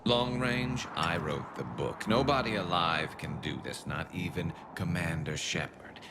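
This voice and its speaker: A man voicing a cocky, wry alien soldier in a video game, gravelly, with dry teasing banter. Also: sits low, measured pace, noisy background. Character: cocky, wry male Turian alien soldier and loyal friend.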